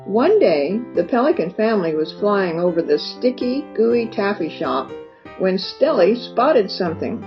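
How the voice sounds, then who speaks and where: A woman reading a children's story aloud as an expressive narrator, stretching words for emphasis. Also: medium pitch, measured pace. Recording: dull lo-fi recording with music behind. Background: music.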